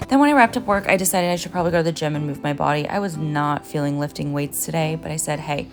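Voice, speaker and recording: Low-voiced woman narrating a food vlog. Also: quick pace, faint music behind. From Finland. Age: 30s. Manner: casual, chatty, upbeat, addresses viewers as guys. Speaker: nutritionist and vlogger. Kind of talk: what-I-eat-in-a-day vlog narration.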